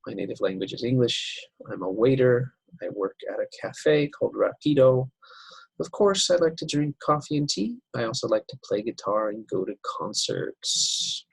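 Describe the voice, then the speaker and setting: A man teaching an English writing lesson over slides, unhurried and step by step, with many fillers. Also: medium pitch, expressive, gravelly, slightly rough, dry recording, poor audio.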